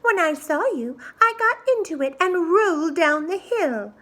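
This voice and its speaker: A woman's voice: very high voice, lively intonation, measured pace, fairly bright tone, voice pushed up, exaggerated voice. A woman voicing a small, cheeky, clever pig in a children's storybook, chirpy and boastful in a singsong way. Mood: gleeful, boastful. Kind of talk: children's storybook read-aloud.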